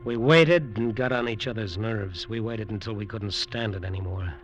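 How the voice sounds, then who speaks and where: A man's voice: lowish voice, normal speed, lightly acted. A man acting in an old radio suspense drama as a desperate, greedy resort builder who narrates his own downfall in an intense, hard-boiled way. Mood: tense, brooding. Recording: old radio recording with music.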